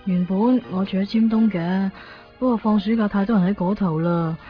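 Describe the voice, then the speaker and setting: A woman's voice: mid-range voice, fairly even intonation, normal speed, natural voice. An actress with a Hong Kong accent playing an innocent, easygoing homeless young woman in a radio drama, casual and unfazed. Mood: unfazed, resigned. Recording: dull 1990s radio drama recording. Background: faint background music.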